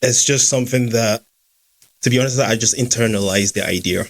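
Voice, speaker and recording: A man's voice: mid-range voice, a little fast. A young Scottish man hosting a podcast in an easygoing chat with some fillers. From Scotland. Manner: easygoing, with fillers and small laughs. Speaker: podcast host and founder. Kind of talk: casual chat.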